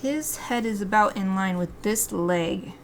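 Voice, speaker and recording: A woman's voice: mid-range voice, a little slow, slight background noise. An American woman chatting and laughing to herself while drawing live, reading viewer comments aloud. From United States. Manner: chatty, giggly, talks to herself and reads chat aloud. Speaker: artist streaming live drawing.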